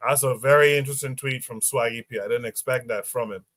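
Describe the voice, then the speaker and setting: A man hosting a livestream podcast panel who reads posts aloud and pauses often with fillers. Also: mid-range voice, steady tone, fairly bright tone, dry recording, clean studio sound. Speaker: podcast host.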